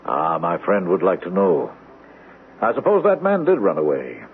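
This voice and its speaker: An urbane, faintly sinister doctor in an old radio mystery. His lowish voice moves expressively up and down, suave and deliberate, through a tinny vintage broadcast. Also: huge pitch swings, a little hiss or hum. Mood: assured.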